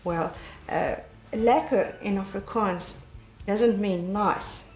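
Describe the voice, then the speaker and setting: A woman's voice: medium pitch, slow, darkish tone, reverberant, slight background noise, low-quality recording. A middle-aged woman from Africa, telling a chatty anecdote. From South Africa. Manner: chatty, laughs while telling. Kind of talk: casual anecdote. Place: studio, plain backdrop.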